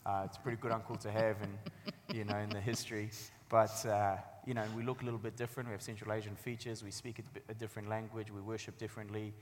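A man's voice: lowish voice, level tone, fast talker, a little hiss or hum. A man, giving an articulate, storytelling talk about his own life as a guest author. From Afghanistan, later New Zealand. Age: 30s. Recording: echoey hall with long reverb.

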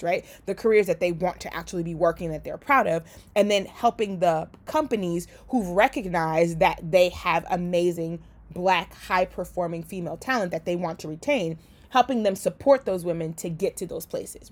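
A woman from Liberia giving casual career coaching, talking conversationally with frequent "right?" and quick restarts. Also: on the low side. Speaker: career coach and company founder. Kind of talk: casual coaching talk to an audience.

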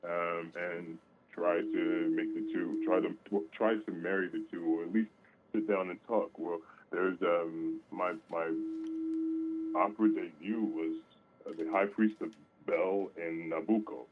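A very deep-voiced man speaks over a thin, phone-like line. He is an opera singer being interviewed on the radio. His answers are halting and full of fillers and restarts. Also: fairly even intonation.

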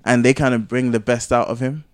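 A man co-hosting a podcast in casual conversation, rambling and getting animated as he argues his points. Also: mid-range voice, a little fast, no room sound, studio quality. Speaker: podcast co-host. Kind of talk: casual chat.

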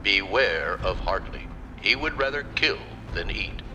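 Emergency bulletin announcer: deep, animated, formal and ominous, with prominent music underneath. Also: male voice, a little slow. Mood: stern warning. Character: grave male radio newscaster broadcasting a police warning. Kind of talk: old-time radio bulletin acting.

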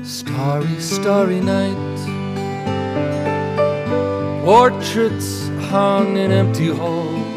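Woman singing slowly over guitar. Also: medium pitch. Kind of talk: singing a slow folk ballad. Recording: music recording with plucked guitar. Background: acoustic guitar accompaniment.

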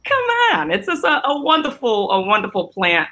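Woman co-host, loose chatty delivery, laughs easily, mid-pitched. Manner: laughs often, relaxed drawn-out delivery. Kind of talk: casual podcast chat.

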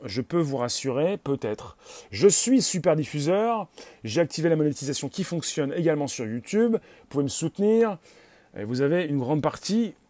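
A man hosting a livestream, talking casually to his viewers in an excitable, rambling, self-promoting way. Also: on the high side, dry recording. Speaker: livestream host.

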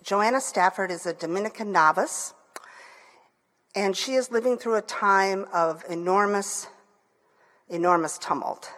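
A woman's voice: medium pitch, measured pace, pauses often, fairly bright tone. A woman from Michigan with a Michigan accent, an author talking eagerly about her novels, with frequent fillers and restarts. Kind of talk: book talk. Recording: long hall reverb.

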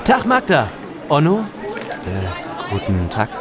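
A chatty, plain-spoken village man in an audio drama. His casual speech is lively in pitch, set amid music and crowd noise. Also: takes it slowly. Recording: music and crowd in public room.

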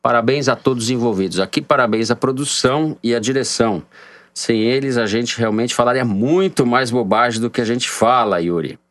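Bantering podcast host, São Paulo accent. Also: male voice, medium pitch, brisk, fairly bright tone, no room sound. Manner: jokey, bantering, reads listener messages. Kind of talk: casual political podcast chat.

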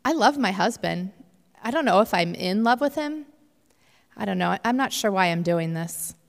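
A conflicted married career woman of thirty played by an actress confides in a monologue. Her voice has plenty of creak and carries through a hall with long reverb. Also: medium pitch, lively intonation, normal speed. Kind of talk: dramatic monologue.

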